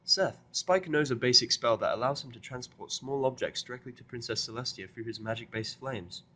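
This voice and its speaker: A man's voice: medium pitch, a little fast, pushed and bright, voice pushed up. A man voicing a studious, easily flustered young female unicorn pony who speaks in formal, pedantic phrases for a fan fiction audio drama. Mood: patiently explaining.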